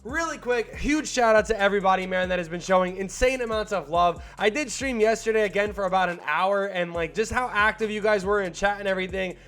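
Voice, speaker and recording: A man's voice: sits high, a little fast, hardly any pauses, a bit bright. A young man with a slightly stuffed-up voice giving casual, slangy video game commentary. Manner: hyped, slangy and rambling, with filler words. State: still a little stuffed up from a cold. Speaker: gaming YouTuber.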